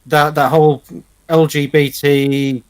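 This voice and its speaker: A male radio co-host in casual conversation. His voice is a little higher than average and comes through dry, without room sound. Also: level tone, slow, clean tone, no room sound. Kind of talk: casual chat.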